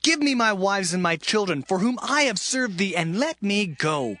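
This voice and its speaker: A man's voice: high-pitched, sing-song, moderate pace, bright, forceful tone, dry recording, heavily acted. A man voicing Jacob, a hardworking herdsman, speaking firmly and insistently. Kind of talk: dramatized scripture reading.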